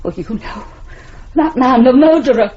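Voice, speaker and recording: A woman's voice: sits high, normal speed, natural voice. An actress playing an anxious, insistent middle-aged mother in a radio drama, pleading with a British accent. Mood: fearful, challenging. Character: distraught, insistent mother of a missing daughter. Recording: dull, lo-fi 1970s radio recording.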